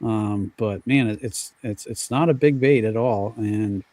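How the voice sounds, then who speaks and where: A man's voice: lowish voice, a little slow, darkish tone, no room sound. Dry-sounding, hesitant American man. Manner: hesitant, searching for words. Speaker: fishing podcast panelist. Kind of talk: casual anecdotal chat.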